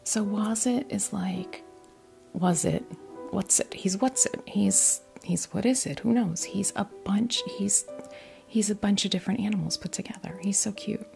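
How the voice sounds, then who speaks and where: A woman chatting in a breathy, creaky whisper for an ASMR unboxing video, rambling hesitantly through little asides as the host. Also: mid-range voice, unhurried, darkish tone. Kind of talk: ASMR, soft rambling chat. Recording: music playing behind the voice. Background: clear background music.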